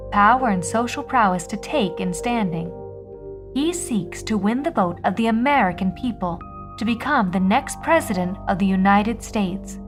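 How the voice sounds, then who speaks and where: A woman playing a deliberate, theatrical 1950s telephone switchboard operator who hosts with arch, florid showmanship. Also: on the high side, natural voice. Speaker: host, switchboard operator narrator. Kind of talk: theatrical scripted narration, anthology radio drama.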